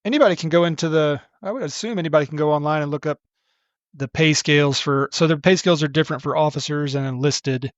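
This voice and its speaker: Relaxed man, dull dry audio. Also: a little high, fast, dry recording. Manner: relaxed, rambling, many restarts. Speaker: podcast guest. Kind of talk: casual interview talk.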